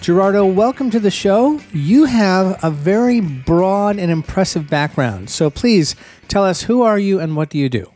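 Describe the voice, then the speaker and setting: A man's voice: high-pitched, animated, moderate pace, a little mellow, faint music behind. A middle-aged man hosting a business podcast, upbeat and encouraging as he welcomes and questions his guest. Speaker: podcast host.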